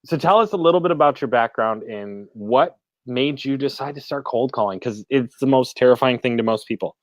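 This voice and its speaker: A man hosting an interview, eager and quick to ask follow-up questions. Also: mid-range voice, dry recording. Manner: enthusiastic, probing follow-up questions. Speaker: host. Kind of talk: podcast interview. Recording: dry dull lo-fi call audio.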